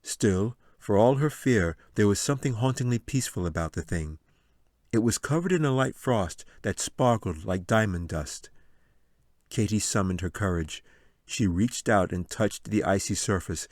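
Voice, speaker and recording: Low-voiced man narrating dramatic fantasy. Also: a little mellow, no room sound, studio quality. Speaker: author reading his audiobook.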